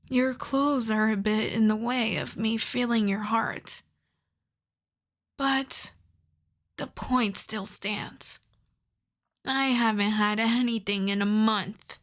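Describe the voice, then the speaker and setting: A woman playing a possessive, seductive female vampire in an ASMR roleplay, speaking intimately and coaxingly with a smug, commanding streak and slipping into whispers. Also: fairly high pitch. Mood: hungry, intimate. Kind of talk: ASMR roleplay audio drama.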